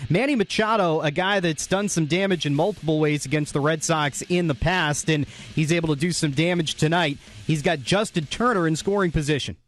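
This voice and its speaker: High-pitched American man recapping baseball highlights. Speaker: radio sports recap host. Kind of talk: sports highlights recap narration.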